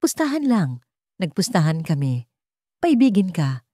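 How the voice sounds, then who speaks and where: A woman's voice: on the low side, sing-song, measured pace, warm tone, dry recording, clean studio sound. A woman voicing a callous young man who gloats bluntly in a romance story. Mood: callous, mocking. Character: callous young man, heroine's crush's gloating best friend.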